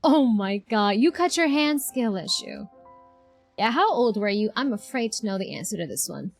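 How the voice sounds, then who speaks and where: A woman streamer giving casual, expressive, exclamatory live reactions to a video game. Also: on the high side, moderate pace. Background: game music.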